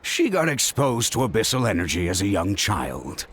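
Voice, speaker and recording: A man's voice: lowish voice, wildly swooping pitch, moderate pace, a bit bright, slightly rough, heavily acted. An actor playing a stern, weary middle-aged adoptive father in a game scene, with music behind.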